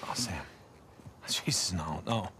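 An actor playing an earnest, anxious American adventurer in a video game, speaking in an urgent, imploring way. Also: male voice, low voice, slow pace, a bit bright, slight background noise. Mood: hurt, disbelieving. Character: earnest, anxious male adventurer pleading and bargaining. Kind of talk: video game cutscene acting.

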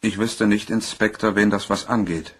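An actor playing a plain-spoken, slightly guarded shipping clerk in a crime radio play, answering matter-of-factly. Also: male voice, lowish voice, steady tone, normal speed, slightly rough.

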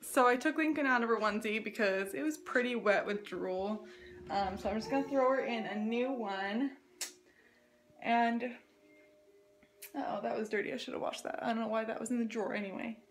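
A woman's voice: on the high side, normal speed, soft background music. Chatty young woman vlogging at home. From US West Coast. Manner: chatty, lots of "like", hushed to avoid waking a sleeping baby. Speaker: family vlogger. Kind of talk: casual home vlog. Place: small home rooms indoors. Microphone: phone camera at arm's length.

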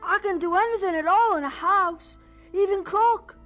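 A woman's voice: very high-pitched, moderate pace, loud music behind the voice. A woman playing an earnest, polite young boy with a Southern US accent, pleading respectfully in a radio drama. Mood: eager pleading.

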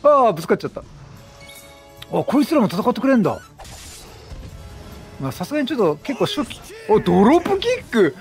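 A man's voice: sits high, darkish tone. A man doing casual gameplay commentary, chatty and full of quipped reactions. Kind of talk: casual let's-play commentary, reading dialogue aloud. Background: game music.

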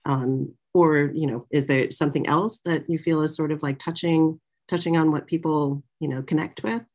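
Low-voiced middle-aged woman interviewer. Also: steady tone, moderate pace, darkish tone. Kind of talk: conversational interview. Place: small indoor office, on a video call. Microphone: computer mic on video call.

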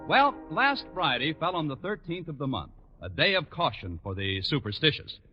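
A man's voice: a little high, big pitch swings, moderate pace. A man announcing and narrating a radio comedy in the polished style of the 1940s. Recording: dull, reverberant old radio recording, music behind. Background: music.

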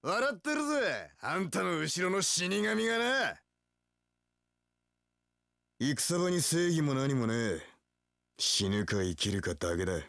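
A cocky young samurai warlord for a video game. He drawls slowly with rough swagger, swinging widely in pitch and tossing in English catchphrases. The sound is dry and close. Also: very animated, fairly bright tone, dry recording, exaggerated voice. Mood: cocky, taunting. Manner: swaggering, rough tough-guy speech peppered with English catchphrases. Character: cocky, cool young male samurai warlord, swaggering one-eyed dragon. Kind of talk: video game battle voice lines.

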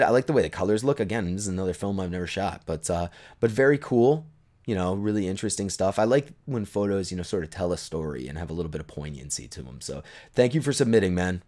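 A man in his thirties casually talking through viewer photos at home, relaxed and enthusiastic, with lots of fillers. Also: low-pitched. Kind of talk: casual chat. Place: bright living room at home. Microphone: desk condenser mic in frame.

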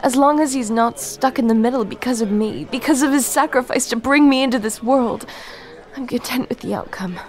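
An actress playing a grieving, determined daughter in an old-time radio noir drama, earnest and dramatic. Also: female voice, fairly high pitch, a little fast, a faint crowd, close to an everyday voice. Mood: pleading, resolute. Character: grieving, determined daughter, female detective's client.

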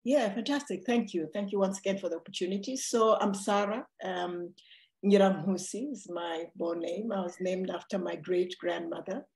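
A woman's voice: medium pitch, normal speed, gravelly, echoey room. A middle-aged woman from Zambia, raised in Congo, with Kinyarwanda as her first language, telling her life story as a podcast guest on a video call from her home office, chatty and laughing often. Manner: warm, laughs often, many restarts. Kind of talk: casual interview storytelling. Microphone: video call computer mic.

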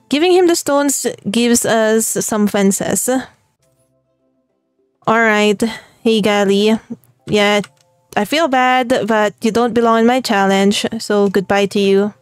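A young woman with a Filipino accent talks her way through a game, upbeat and chatty and quick to laugh. Her voice sits a little high. The sound is close and dry, with no room around it. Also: dry recording, soft background music. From Philippines. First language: Tagalog. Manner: cheerful and chatty, laughs often. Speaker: gaming channel host. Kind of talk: let's play commentary.

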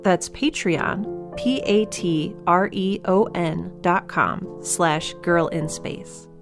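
A woman's voice: fairly low pitch, takes it slowly, over music. A woman podcast host reading a scripted announcement for listeners. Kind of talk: scripted sponsor and credits announcement.

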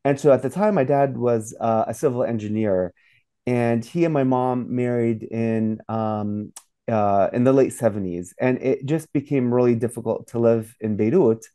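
A man's voice: mid-range voice, normal speed, dark tone. A man in his forties, an author and professor, answering interview questions hesitantly with many fillers over a video call from a home office. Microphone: video call webcam.

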